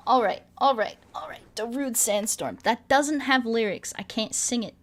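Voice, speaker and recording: A woman's voice: a little high, moderate pace. A woman streamer in casual livestream chat with her audience, playful and rambling, often repeating her phrases in a singsong way. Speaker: streamer singing requests from chat.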